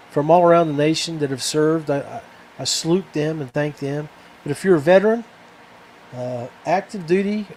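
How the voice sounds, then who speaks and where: A man hosting a podcast alone in casual, rambling chat, often halting and repeating words. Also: fairly high pitch, a little mellow. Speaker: podcast host. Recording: clear background noise.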